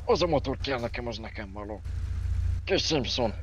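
A man's voice: mid-range voice, expressive, highs cut off. A man bantering casually in an online role-play game. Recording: noisy game audio with car engines. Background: game car and engine sounds.

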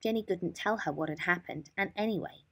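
A woman's voice: fairly low pitch, brisk, fairly bright tone. A woman storyteller narrating a children's bedtime story.

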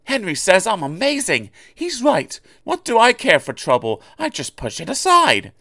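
A man's voice: high voice, wildly swooping pitch, normal speed, gravelly, sharp tone, no echo, clean studio sound, pitched above the performer's own voice, full character voice. A man voicing a proud, cocky little male tank engine in a storybook reading. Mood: boastful. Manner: boastful, chirpy.

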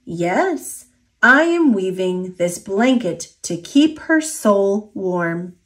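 A woman with an American accent voicing a gentle old grandfather in a storybook, tender and unhurried. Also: medium pitch, sing-song.

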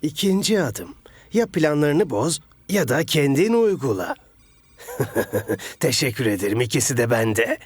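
An actor playing an arrogant, resentful football star villain in a cartoon, boastful and impatient. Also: male voice, mid-range voice, very animated, a little slow, breathy edge, no room sound. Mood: sly. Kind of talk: animated cartoon dub.

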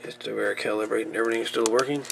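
A man giving casual, unscripted narration at a workbench in a halting, muttering way as he works. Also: mid-range voice, fairly even intonation, vocal fry. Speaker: hobbyist host of radio repair videos. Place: indoor workbench. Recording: noisy home-camera audio with clicks.

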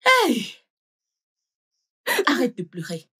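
A woman's voice: mid-range voice, expressive, normal speed, breathy edge. An actress dubbing a fierce, protective middle-aged mother who scolds in indignant outbursts. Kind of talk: dubbed film acting.

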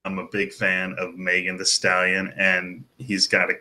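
A man's voice: sits low, monotone, normal speed, background noise. A man serving as guest judge on a comedic video call, laid-back and chuckling.

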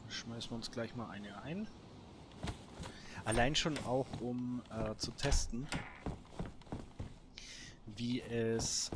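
A man giving casual solo let's play commentary, muttering short remarks to himself. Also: lowish voice. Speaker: gaming video host. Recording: clearly noisy background with clicks.

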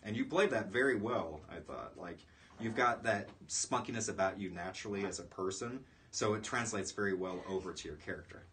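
A man in his thirties hosting a relaxed, conversational interview in a small room, picked up by a distant mic. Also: fairly low pitch, a little fast. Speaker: podcast host and writer. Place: small indoor room, seated in armchairs. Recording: distant room mic, audible background noise.

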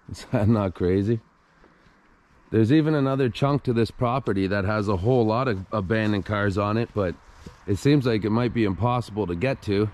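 A man's voice: lowish voice, a little mellow. A man hosting a casual exploring vlog, talking to viewers as he walks around with an action camera. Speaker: host of an abandoned-places exploration channel. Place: on the move through a derelict property, RV, overgrown yard and house.

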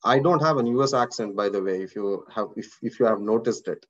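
Indian guy on a video call, low voice, earnest pep talk, lots of uh and repeats, muffled lo-fi sound. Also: brisk. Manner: earnest, repeats words, many fillers. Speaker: course instructor.

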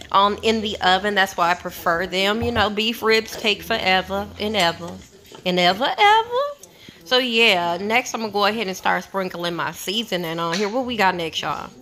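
A woman's voice: mid-range voice, sharp tone, a little hiss or hum. A woman chatting casually through a home cook-along in her kitchen, playful and talkative. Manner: chatty, playful, says y'all a lot. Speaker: cooking vlogger.

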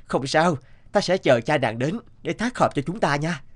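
A man voicing a proud, suave young enemy general with a commanding, self-assured manner in an animated tale. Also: fairly high pitch, normal speed, big-room sound. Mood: courting. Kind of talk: Vietnamese animated moral tale voice acting.

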